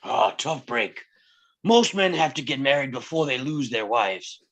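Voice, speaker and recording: A man's voice: animated, a bit bright. A man reading the part of a brash, wisecracking middle-aged circus owner with a blunt, joking manner. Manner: joking, blunt, fast-shifting pitch. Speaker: table read actor. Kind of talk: live table read acting.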